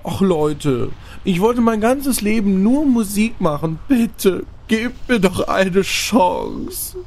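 An actor playing an earnest would-be musician who pleads for a chance at an audition. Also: male voice, sits high, animated, slow, creaky, darkish tone, exaggerated voice. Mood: pleading. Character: hopeful young male singer auditioning.

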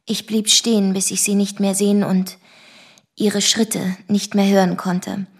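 An actress playing a composed, formal woman who gives a measured, matter-of-fact witness account in a radio play. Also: medium pitch, level tone, husky. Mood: composed, sober. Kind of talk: radio play narration, scripted. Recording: music behind the voice.

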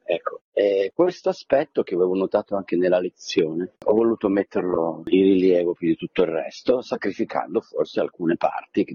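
A man with a very husky, low, dark voice gives a reflective interview as a theatre director. He speaks with passion and wanders off, often checking for agreement. The sound is dry and slightly dull. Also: no room sound. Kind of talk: conversational interview, reflective storytelling.

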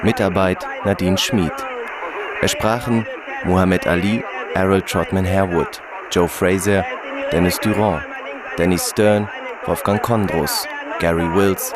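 A man's voice: sits low, steady tone, unhurried. A man reading scripted radio credits as an announcer. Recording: loud crowd noise behind. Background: crowd.

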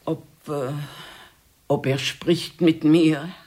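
A woman's voice: sits low, steady tone, extremely slow, dark tone, slight background noise. An actress playing a fearful, superstitious old peasant woman in a radio drama, timid and anxious as she speaks. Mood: anxious, awed.

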